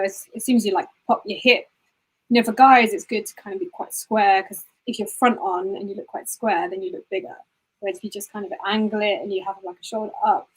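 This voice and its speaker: A fast-talking woman with a breathy, slightly husky voice. She talks in a loose and chatty way and often says "you know." She sounds like a guest on a video call. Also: mid-range voice, rough recording. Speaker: guest photographer. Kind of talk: casual interview answers.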